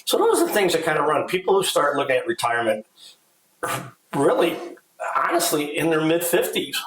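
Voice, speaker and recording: A man's voice: fairly high pitch, sing-song, fairly quick, fairly bright tone. Middle-aged man rambling in an echoey room. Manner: rambling, many "you know" fillers. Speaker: financial advisor, podcast co-host. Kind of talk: casual podcast discussion.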